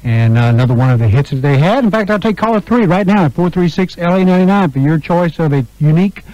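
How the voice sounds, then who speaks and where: A male radio DJ with a fairly high voice chats in an upbeat, informal way. The studio sound is dry and close, captured on a slightly dull, lo-fi broadcast tape. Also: lively intonation, quick pace, a little mellow, dry recording. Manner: chatty, informal announcing with phone-in giveaways. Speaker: radio DJ and interviewer. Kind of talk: upbeat oldies radio show patter. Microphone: close broadcast microphone.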